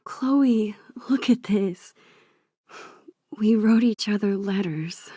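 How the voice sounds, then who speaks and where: A shy, nerdy teenage girl from a video game, speaks softly with plenty of breath. She takes her time, sounding sweet and earnest with a gentle teasing streak. The sound is dry, with no room around it. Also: medium pitch, slow, breathy edge, dry recording. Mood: gentle, wistful.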